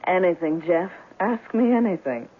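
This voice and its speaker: A woman's voice: lowish voice, lively intonation, moderate pace, husky. An actress playing an alluring, evasive female client in a 1970s detective radio drama. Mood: coy, surprised. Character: alluring, evasive female client in trouble, noir femme fatale. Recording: muffled old radio broadcast.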